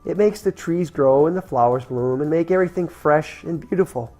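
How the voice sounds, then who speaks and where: A man's voice: a little high, fairly quick, warm tone, faint music behind, natural voice. A man voicing a kind, cheerful little girl from a children's storybook as he reads aloud.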